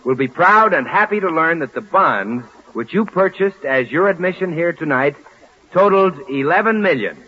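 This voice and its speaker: A man's voice: a little high, animated, normal speed. An American man announcing formally to an audience in 1940s radio style. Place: auditorium stage. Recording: muffled, hissy 1940s radio broadcast.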